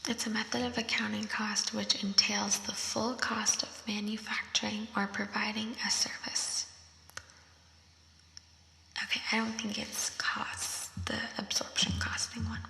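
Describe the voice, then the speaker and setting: A young woman whispers softly and unhurriedly, reading quiz questions and reasoning through them aloud. Her whisper is bright with a gravelly edge and comes through an effect. It rings out in a roomy, echoing space with a long tail. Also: mid-range voice, flat delivery. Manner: soft unvoiced whisper, slow and thinking aloud. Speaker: ASMR creator. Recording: roomy echo with long reverb.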